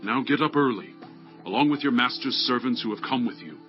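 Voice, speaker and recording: A man's voice: medium pitch, a little fast, lightly acted. An actor playing an earnest, diplomatic ancient ruler speaking up for his servant in a scripture drama. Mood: regretful, firm. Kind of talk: dramatized scripture audio acting. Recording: dull lo-fi audio, faint music.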